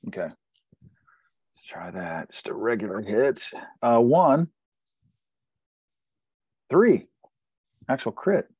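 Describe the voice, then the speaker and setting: A man's voice: medium pitch, very animated, drawn-out words, long pauses, darkish tone, breathy edge, no echo. A man chatting casually while playing a tabletop role-playing game.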